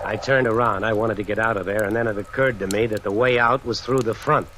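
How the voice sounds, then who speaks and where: A man's voice: fairly low pitch, steady tone, fast talker, background noise, lightly acted. An actor playing a cocky, belligerent drifter in an old radio drama, with wry, slangy tough-guy talk. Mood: uneasy. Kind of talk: 1950s radio drama acting, first-person noir narration. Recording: old radio recording with music.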